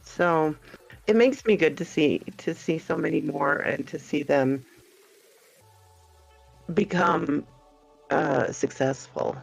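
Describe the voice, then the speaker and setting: A woman's voice: sits low, animated, takes it slowly, gravelly, pauses often. A woman guest on a podcast, an online story narrator, chatting casually, laughing often and hesitant and modest about herself. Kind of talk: casual chat. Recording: muffled lo-fi stream audio with faint music.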